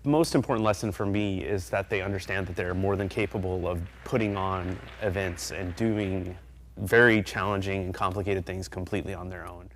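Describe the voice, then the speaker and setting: A man who advises students, calmly reflecting on their work in a video interview. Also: deep voice, fairly quick, slight background noise.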